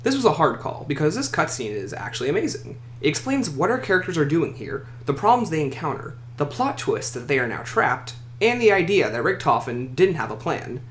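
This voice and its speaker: In an echoey room with faint noise, a man delivers scripted countdown commentary as a video host. His fairly high voice moves up and down in a very lively way. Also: fairly quick, hardly any pauses.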